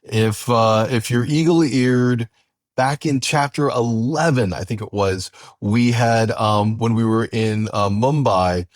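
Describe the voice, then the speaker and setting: A man co-hosting a podcast, chatting casually and a little haltingly as he reminisces. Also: mid-range voice, no room sound. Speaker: podcast co-host.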